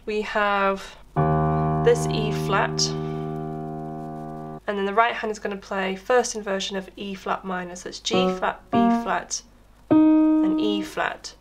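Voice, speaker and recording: A woman with a hoarse voice from being under the weather, chattily explaining a piano tutorial step by step at home, recorded on a crackling camera mic. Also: medium pitch, level tone, slow, pauses often. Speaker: piano teacher. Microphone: camera microphone with crackle. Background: piano playing.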